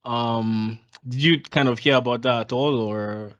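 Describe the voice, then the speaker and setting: A man's voice: medium pitch, fairly even intonation, a little slow, fairly bright tone, muffled recording. A young man hosting a podcast, asking playful questions and laughing often in casual conversation. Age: young adult. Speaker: podcast host.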